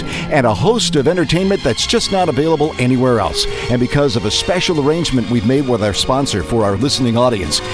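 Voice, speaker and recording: A man reads a scripted advertisement in an announcer's style. His voice sits a little high and moves animatedly, with music playing clearly behind him. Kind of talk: scripted promotional pitch.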